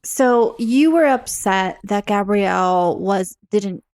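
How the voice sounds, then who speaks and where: Woman guest with a mid-pitched voice. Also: takes it slowly, darkish tone. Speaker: podcast guest. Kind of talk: casual podcast conversation.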